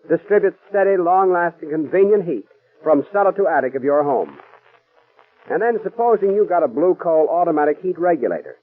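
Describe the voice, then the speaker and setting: A man with a somewhat high voice reads a scripted commercial in a smooth, persuasive announcer's manner. The sound is tinny and narrow, like an old radio broadcast.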